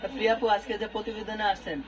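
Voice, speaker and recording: A man's voice: high voice, flat delivery, very fast. A man with a Bangladeshi accent doing a casual interview, asking chatty, prodding questions. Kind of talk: casual street-report style interview. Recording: noisy location with clear background noise.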